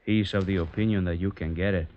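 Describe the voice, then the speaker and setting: A man plays a cold Mexican middleman for kidnappers in an old radio play. He is low-voiced, formal and evasive, with measured menace, on a dull vintage recording. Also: fairly even intonation, a little mellow, close to an everyday voice. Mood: calm, insistent. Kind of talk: 1950s radio drama acting.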